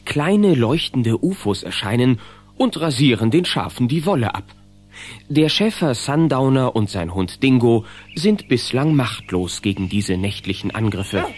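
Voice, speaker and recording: A man's voice: mid-range voice, very animated, a little slow, darkish tone, soft background music. A man narrating a children's audio drama in a scripted storytelling style.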